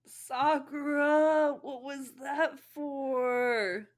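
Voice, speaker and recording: A woman's voice: high voice, fairly even intonation, drawn-out words, voice pushed up. A woman voicing a drunk, boisterous young male ninja with an exuberant, slurring delivery in a fan fiction reading. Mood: whiny complaint.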